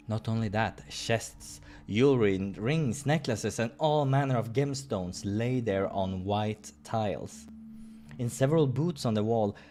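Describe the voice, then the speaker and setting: A man hosting a playthrough, reading a visual novel aloud in an expressive way with casual asides. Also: on the low side, slight background noise. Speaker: let's-play host.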